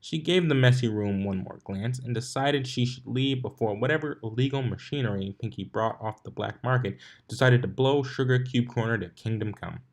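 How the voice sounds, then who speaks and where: A fan fiction reader narrating a story in a medium-pitched voice. The delivery is scripted, with small stumbles along the way. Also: normal speed, few pauses.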